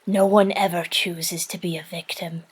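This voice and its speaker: A woman playing an earnest, fiercely supportive woman in an audio drama, speaking with tender, emphatic reassurance in a husky, breathy voice. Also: fairly low pitch, level tone, normal speed, low-quality recording. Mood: firm, reassuring.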